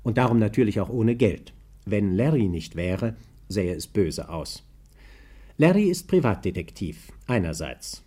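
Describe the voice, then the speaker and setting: Animated, low, husky male announcer. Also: unhurried. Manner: playful, mock-official. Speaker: announcer introducing a radio serial. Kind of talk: 1950s scripted radio narration. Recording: 1950s German radio recording.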